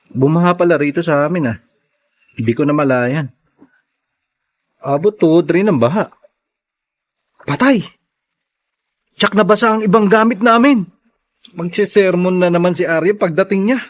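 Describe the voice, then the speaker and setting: A man playing a careless, hard-drinking young father in a theatrical Tagalog radio drama. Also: sits high. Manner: exaggerated, theatrical delivery.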